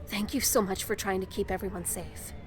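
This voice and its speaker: A woman's voice: mid-range voice, fairly even intonation, brisk, slight background noise, close to an everyday voice. A young woman playing a feisty, emotional younger sister in an audio drama. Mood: relieved.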